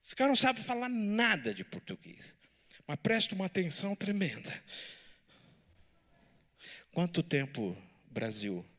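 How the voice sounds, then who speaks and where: Bright-voiced pastor teaching animatedly. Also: male voice, high-pitched, unhurried, pauses often. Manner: animated, lively preaching with audience banter. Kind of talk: Bible study teaching. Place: church.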